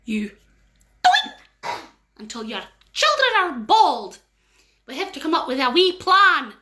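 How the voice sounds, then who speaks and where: Woman doing a gossipy, excitable neighbour. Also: slow pace, a little hiss or hum, full character voice. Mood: excited. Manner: high, excited and chatty.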